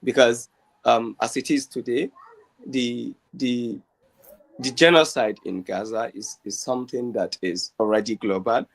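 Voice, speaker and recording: A man with a gravelly, creaky voice puts questions to a guest. He talks hesitantly, stopping and restarting as he feels his way through each sentence. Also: mid-range voice, a little slow, poor audio. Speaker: interviewer. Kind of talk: interview questions.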